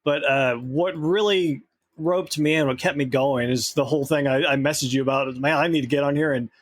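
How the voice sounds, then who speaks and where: Relaxed man, podcast guest. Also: medium pitch, fairly quick, no echo. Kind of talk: casual interview chat.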